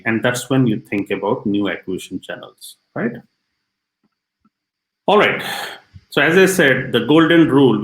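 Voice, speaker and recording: A man's voice: medium pitch, a bit bright. A man giving an informal explanatory lecture in a didactic way, often checking in with the listener with short tags. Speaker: podcast host explaining business concepts. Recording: echoey room.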